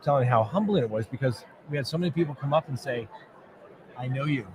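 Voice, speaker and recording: A man's voice: medium pitch, quick pace. Earnest man rambling on a noisy floor. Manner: earnest, rambling, often restarts sentences. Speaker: lawyer and show host. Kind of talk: casual chat at a convention booth. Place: busy convention floor.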